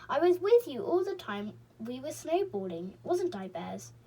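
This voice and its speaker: An excitable little girl from a storybook chatters eagerly in a raised, bouncing voice, as a woman puts her on. She sounds breathless and keen, with her pitch darting up on every worry. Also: unhurried, husky, distant mic, full character voice.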